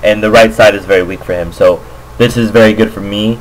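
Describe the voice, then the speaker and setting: A man's voice: fairly low pitch, steady tone, brisk, poor audio. A man giving casual, unscripted game commentary, hesitant and thinking aloud as he goes. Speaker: amateur Go player, commentator. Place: indoors at a computer, screen recording voice-over. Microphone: makeshift computer microphone.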